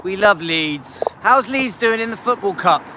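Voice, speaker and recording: A man's voice: high-pitched, animated, unhurried, noisy background. An English man live streaming on a dog walk, chatting with viewers and reading out their comments in a rambling, friendly way. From England.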